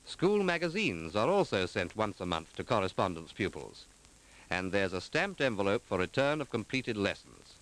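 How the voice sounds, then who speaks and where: A man reading scripted narration for a 1940s documentary film in a measured, formal, articulate manner. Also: on the low side, very animated, fairly bright tone, poor audio. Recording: 1940s film soundtrack with music.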